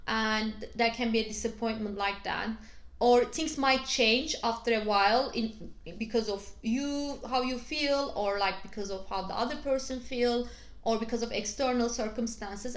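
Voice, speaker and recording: A woman with a Turkish accent, a native Turkish speaker, explaining astrology to her channel's viewers, pausing often on fillers and restarting her phrasing. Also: fairly high pitch. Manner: hesitant, frequent fillers and restarts. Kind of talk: casual explanatory video. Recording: echoey room.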